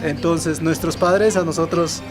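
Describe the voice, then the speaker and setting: A man's voice: fairly high pitch, fairly even intonation, a little slow. A man with a Peruvian Andean accent, a native Quechua speaker, explaining things casually as a local guide outdoors. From Peru. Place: outdoors in a valley town. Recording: music laid over the voice.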